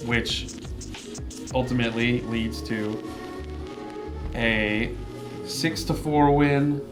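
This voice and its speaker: A man's voice: medium pitch, fairly even intonation, very slow. Man hosting a casual debate, music behind. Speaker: debate show host and judge. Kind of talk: casual moderated debate chat.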